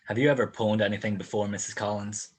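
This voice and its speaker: A man's voice: fairly low pitch, fairly even intonation, fast, husky. A man playing a dry, world-weary private detective in a radio drama, questioning in a laconic, clipped way. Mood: wry, skeptical.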